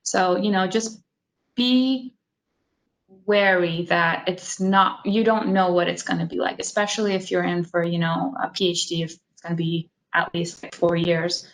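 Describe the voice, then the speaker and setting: A woman, a doctoral graduate, speaking relaxed and unscripted as a panelist on a video call. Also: on the low side, unhurried, low-quality recording. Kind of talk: panel remarks.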